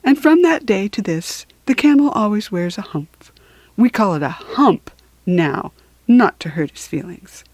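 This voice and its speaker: Slow, highly expressive woman storyteller. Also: dry recording. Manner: expressive, deliberate. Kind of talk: children's story and verse reading.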